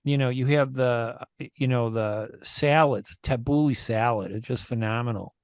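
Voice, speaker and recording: A man's voice: medium pitch, moderate pace, vocal fry, a little mellow, clear, resonant tone, no echo, clean studio sound. A man hosting a radio talk show, chatting casually and thinking aloud with plenty of fillers and opinions.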